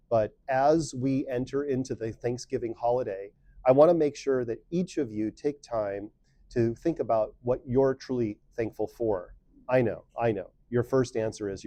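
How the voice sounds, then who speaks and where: A man on the school staff giving students an upbeat, encouraging weekly message, pushing louder on key words. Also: medium pitch, no echo. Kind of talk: scripted pep talk to students.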